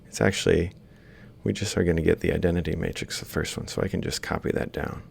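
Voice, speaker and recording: A male lecturer speaks in a deep voice thick with creak. He explains a worked example informally, one step at a time, thinking aloud as he goes. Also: fairly even intonation, quick pace, a little mellow, a little hiss or hum. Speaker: university lecturer.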